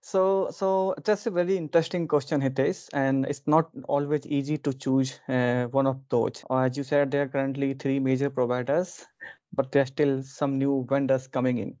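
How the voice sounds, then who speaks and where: A young adult man from India, a podcast guest, explaining point by point in a measured way with small restarts. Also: medium pitch, level tone, mellow, dry recording, highs cut off. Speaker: podcast guest, DevOps lead. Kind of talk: conversational podcast answer.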